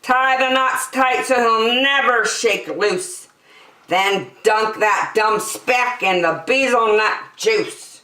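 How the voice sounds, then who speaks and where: A woman's voice: a little high, slow pace, pitched above the performer's own voice. Woman as a rowdy, bullying storybook monkey. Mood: aggressive. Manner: shouted commands, mocking. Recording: roomy echo, distant mic.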